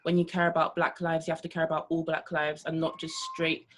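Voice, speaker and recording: Woman, low voice, flat delivery, passionate. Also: fairly quick, slight background noise. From London. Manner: earnest, passionate. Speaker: panel guest.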